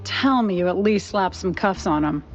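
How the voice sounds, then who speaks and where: An actress playing a sharp, skeptical, quick-witted reporter in a 1930s noir cartoon game, probing and sardonic. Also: female voice, lowish voice, expressive, highs cut off, soft background music, close to an everyday voice. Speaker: journalist.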